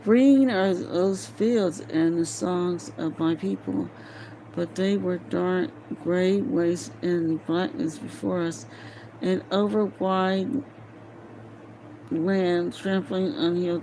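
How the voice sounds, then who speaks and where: Slow, halting woman reading aloud, mellow voice. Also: lowish voice, steady tone, slight background noise, rough recording. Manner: halting, stumbling over words, muttering to self. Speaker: amateur reader of a novel aloud. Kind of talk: informal read-aloud with casual asides.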